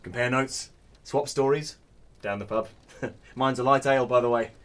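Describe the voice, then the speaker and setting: An actor playing an affable, chatty newcomer funeral director in a comic audio drama, politely hesitant and cheerfully tactless. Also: male voice, medium pitch, normal speed, a bit bright, natural voice. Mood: chummy.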